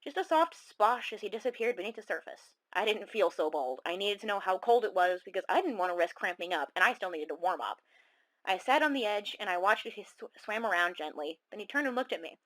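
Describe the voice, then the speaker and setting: A woman's voice: mid-range voice, lively intonation, brisk, a bit bright, natural voice, low-quality recording. Woman acting a shaken female horror-story caller. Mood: uneasy. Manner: dramatic, suspenseful, confiding. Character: shaken young woman caller recounting a monster encounter. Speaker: caller telling her story. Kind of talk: first-person horror storytelling, radio show audio drama.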